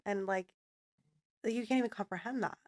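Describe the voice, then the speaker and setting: A woman's voice: mid-range voice, brisk. A woman hosting a podcast, talking with a guest in an earnest and affirming way. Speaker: podcast host. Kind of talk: casual interview talk. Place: podcast studio.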